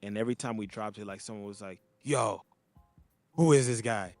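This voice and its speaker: A man from Montreal talks through a casual DJ chat on a video call, relaxed and rambling, full of little fillers. His voice sits low. Faint music plays underneath. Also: normal speed. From Montreal, Canada. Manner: laid-back, rambling, many fillers. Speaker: DJ and podcast co-host. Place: home, child playing nearby.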